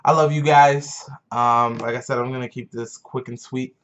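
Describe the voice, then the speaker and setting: A man's voice: medium pitch, fairly even intonation, moderate pace, fairly bright tone, lo-fi sound. A man in his twenties giving an earnest motivational talk to his vlog audience, often repeating phrases for emphasis. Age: 20s. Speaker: vlogger.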